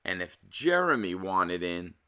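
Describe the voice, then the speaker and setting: A man reading a children's picture book aloud as a storyteller, with animated, emphatic delivery. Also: deep voice, a little slow, clean tone. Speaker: read-aloud storyteller and host.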